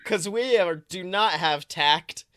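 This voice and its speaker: High, bright-voiced man chatting excitedly. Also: slow pace. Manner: animated, rambling, frequent swearing. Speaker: podcast co-host. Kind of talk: casual excited chat about films.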